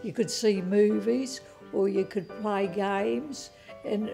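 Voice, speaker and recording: Woman in her nineties, warm gravelly voice, plain fond recollections. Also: medium pitch, slow, faint music behind. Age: 90s. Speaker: interviewee. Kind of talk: reminiscing interview.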